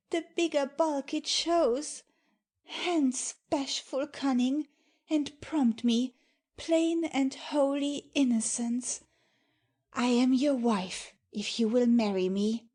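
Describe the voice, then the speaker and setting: A woman plays a tender young maiden in a Shakespeare audiobook. Her voice is high and soft-edged, mellow in tone, and she takes the verse slowly and earnestly, with innocent devotion. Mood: lovestruck, devoted. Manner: slow, earnest delivery of verse. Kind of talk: dramatic Shakespeare audiobook reading.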